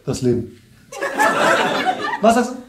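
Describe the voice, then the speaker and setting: A man in his thirties doing loud, teasing crowd work at a live stand-up show, slangy and laughing often, into a handheld mic. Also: on the high side, wildly swooping pitch, takes it slowly. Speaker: stand-up comedian. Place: small comedy club stage with audience. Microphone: handheld stage mic. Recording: echoey club with audience noise.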